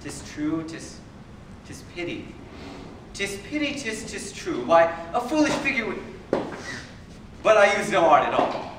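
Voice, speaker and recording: A pompous, rambling old courtier of classical comedy, acted by a man, speaks in drawn-out, repetitive phrases. The voice sits high and rings in a very echoey space, far from the microphone. The delivery is stagey and self-important. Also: very animated. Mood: self-important, meandering. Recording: very echoey room, far from mic, faint noise.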